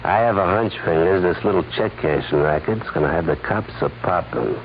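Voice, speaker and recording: A man's voice: sits low, brisk. An actor playing a sly, smug holdup man with coy hesitations and chuckles in a 1940s radio crime drama. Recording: muffled, hissy 1940s radio recording.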